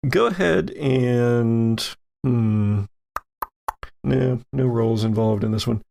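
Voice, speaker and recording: Man, game master, tabletop roleplaying narration, unhurried, fry in the voice. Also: medium pitch, warm tone.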